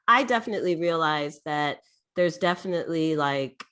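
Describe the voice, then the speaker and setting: A young woman interviewing a guest on a video call, chatty and animated, with many filler words and laughs. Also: sits low, normal speed, smooth. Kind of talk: casual interview chat.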